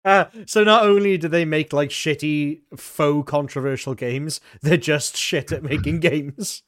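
A man's voice: a little high, measured pace, a bit bright, no echo, studio quality. A man co-hosting a podcast, chatting casually and reacting with blunt, sardonic, sweary remarks. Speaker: podcast co-host. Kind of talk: casual banter, reacting to a co-host.

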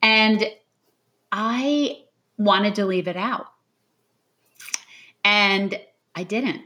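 A woman's voice: medium pitch, very slow, sharp tone. A woman giving an interview as a novelist, talking reflectively and with earnest emphasis, like someone telling a story.

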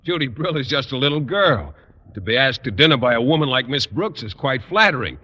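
A man's voice: medium pitch, sing-song, a little fast, bright, forceful tone, breathy edge, dull audio, heavily acted. An actor playing a mild-mannered, genial male teacher in a 1950s radio sitcom, earnest in tone. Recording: reverberant old studio recording, faint hiss.

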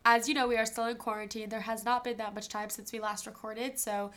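A woman's voice: on the high side, little pitch movement, brisk, sharp tone. A young woman co-hosting a podcast with a stuffy nose, chatting casually and laughing often while telling stories. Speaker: podcast co-host. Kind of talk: casual chat.

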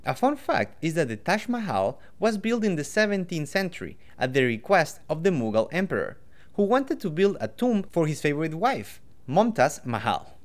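A man's voice: fairly high pitch, big pitch swings, normal speed. A man from Ecuador co-hosting a scripted history podcast, reading facts with joking asides. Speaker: podcast co-host.

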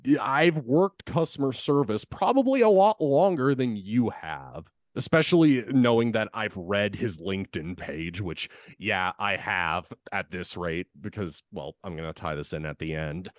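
A young man with a deep voice, casually telling a work story on a podcast and swearing as he vents. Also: animated, unhurried, few pauses, dry recording. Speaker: podcast co-host.

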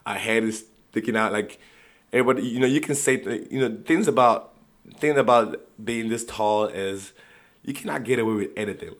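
A young man telling personal stories in a rambling way, with many restarts and frequent laughter. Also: fairly low pitch. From Nigeria. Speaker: vlogger. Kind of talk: casual storytelling.

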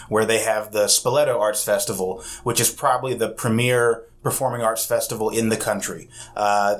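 Low-voiced, affable man explaining at length. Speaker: arts festival executive director. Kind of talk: casual interview answers.

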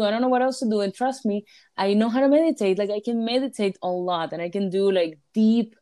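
A woman's voice: medium pitch, darkish tone. Venezuelan woman in rambling casual chat. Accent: Venezuelan Spanish. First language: Spanish. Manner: rambling, self-mocking, frequent restarts. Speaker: podcast co-host.

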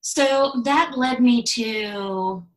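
A woman in her thirties who grew up in Ukraine speaking Russian, telling her story as an interview guest in a thoughtful, measured way. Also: fairly high pitch, far from the mic, low-quality recording. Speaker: guest, sex and intimacy coach. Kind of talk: interview answers.

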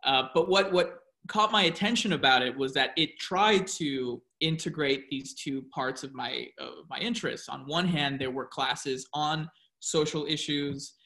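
A man with a notably bright, forward tone answers questions on a podcast. He talks in a casual, reflective way and explains his ideas at length, building them step by step. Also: fairly high pitch, a little slow. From United States. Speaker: podcast guest, professor. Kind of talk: casual interview talk.

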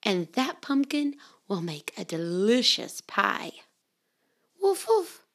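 Lady reading kids storybooks, expressive n simple, slow pace, bit of vocal fry. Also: mid-range voice. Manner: expressive, simple read-aloud delivery for young children. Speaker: children's storybook podcast host and reader. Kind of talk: children's storybook narration.

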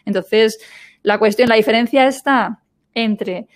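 A woman's voice: on the high side, measured pace. A woman hosting a casual conversational interview, chatty and full of little "¿no?" and "¿sabes?" tags. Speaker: podcast host.

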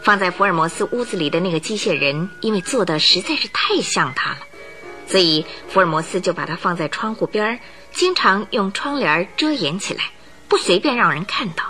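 A woman narrating a radio drama as a lively storyteller, tossing in rhetorical asides. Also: medium pitch, a little fast, faint music behind.

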